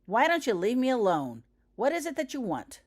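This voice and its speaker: A woman's voice: on the high side, wildly swooping pitch, normal speed, voice pushed up. Woman voicing a defiant, curt schoolboy. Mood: angry. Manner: sarcastic, curt, challenging. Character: hostile, defiant, wary schoolboy.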